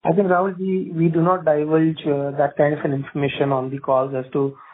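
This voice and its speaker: Male executive, Indian accent, slightly high voice, measured explanations over a narrow conference phone line. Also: level tone. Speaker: company executive answering analysts. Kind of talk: earnings call answers.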